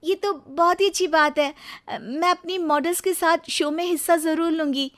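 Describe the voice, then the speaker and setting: A woman plays an eager young daughter-in-law for a cartoon story. Her voice sits high and bright with earnest feeling. She sounds determined and expressive, a city-bred woman set on her plans. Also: moderate pace. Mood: pleased. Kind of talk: Hindi cartoon story dialogue.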